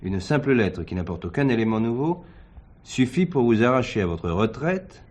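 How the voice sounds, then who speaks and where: An actor playing a courteous, inquisitive nephew who questions an elder politely in a drama. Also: male voice, mid-range voice, animated, moderate pace, a little mellow, lightly acted. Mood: probing, courteous. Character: probing, courteous nephew questioning his uncle.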